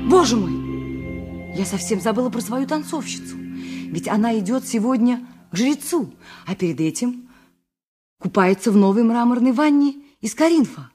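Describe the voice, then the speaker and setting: A lovesick maidservant played by a woman in a radio drama, cooing sentimentally in a fairly high voice over music. She sounds naive, devoted and dreamy. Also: animated, normal speed, dark tone, lightly acted. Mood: wistful, tender. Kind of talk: Soviet radio play acting.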